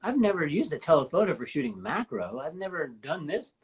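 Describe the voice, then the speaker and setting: A woman in her fifties with a deep voice, hosting a casual live-stream chat and telling stories with enthusiasm. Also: sing-song, a little fast, breathy edge. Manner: enthusiastic, rambling storyteller. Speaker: host. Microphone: live stream from home.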